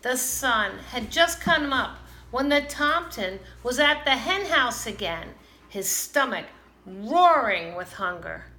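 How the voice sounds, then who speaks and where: A woman reads a children's story with animated expression. Her voice is bright and takes its time. It sounds like an echoey room with faint noise. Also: a little high, slow. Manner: animated, dramatizes characters' lines. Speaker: storybook reader. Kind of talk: expressive children's read-aloud. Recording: roomy, echoing, some background noise.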